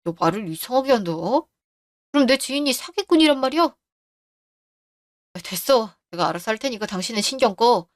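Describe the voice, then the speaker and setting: A woman's voice: a little high, lively intonation, brisk, long pauses, dry recording, clean studio sound, lightly acted. A woman voicing a scheming middle-aged husband who brushes off his wife's worries, in a radio drama. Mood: defensive.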